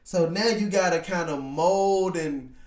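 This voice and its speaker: A man's voice: sits high, measured pace, a little mellow, recorded from a distance. A man in his thirties hosting a podcast with laid-back, slangy casual chat. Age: 30s. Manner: laid-back, slangy, swears and jokes freely. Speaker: podcast host. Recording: echoey room, voice off-mic.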